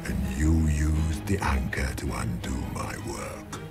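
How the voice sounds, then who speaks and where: An ancient, towering villain with an extremely deep voice, declaiming grandly like a god. The delivery is slow, imperious and menacing. Dramatic fantasy game music swells behind him. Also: male voice, vocal fry, exaggerated voice. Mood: cold menace.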